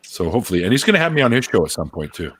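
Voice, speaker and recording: A man's voice: lowish voice, sing-song, racing, a bit bright, breathy edge. A Canadian man hosting a casual livestream chat over a video call, joking and laughing often. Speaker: host.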